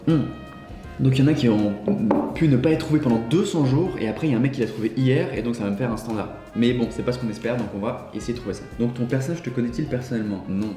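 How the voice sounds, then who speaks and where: A man's voice: mid-range voice, quick pace, mellow, echoey room, faint music behind. A young man giving casual gameplay commentary, reading each of the game's questions aloud and answering it himself. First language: French. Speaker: YouTuber playing an online guessing game.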